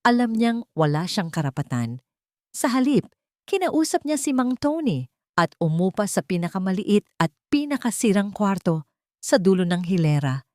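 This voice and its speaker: A woman narrating a dramatic romance story in a steady, scripted storytelling manner. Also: mid-range voice, moderate pace, no room sound, studio quality.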